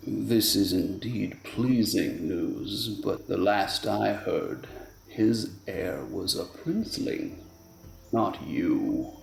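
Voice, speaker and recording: A man's voice: low voice, lively intonation, very slow, vocal fry, roomy sound, full character voice, poor audio. An actor playing a haughty, sinister fae king in a radio play, with theatrical, drawling disdain. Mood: scheming, amused.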